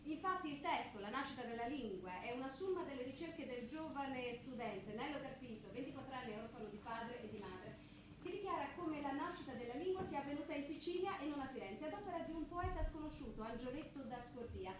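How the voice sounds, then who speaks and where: Woman playing a high-pitched gushing TV hostess on echoey stage. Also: animated, brisk. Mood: grandly announcing. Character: gushing female TV talk show host. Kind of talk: theatrical parody of TV presenting. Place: theatre stage, live performance. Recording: echoey theatre stage with audience noise.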